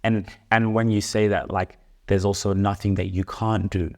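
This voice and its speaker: A man's voice: on the low side, little pitch movement, moderate pace. A young man co-hosting a podcast, talking with enthusiasm and fluency while stacking his thoughts with fillers like "like" and "you know.". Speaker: podcast co-host, son. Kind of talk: casual motivational chat.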